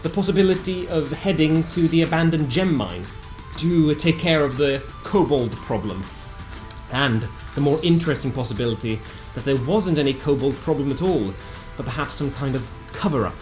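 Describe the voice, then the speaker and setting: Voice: mid-range voice, expressive, normal speed, a little mellow. A narrator reading a scripted recap of a tabletop fantasy game in a theatrical storytelling manner with wry asides. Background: music.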